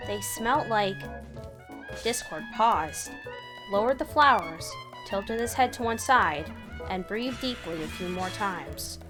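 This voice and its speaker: A woman reading fan fiction aloud as an expressive third-person narrator with dramatic pauses. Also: medium pitch. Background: background music.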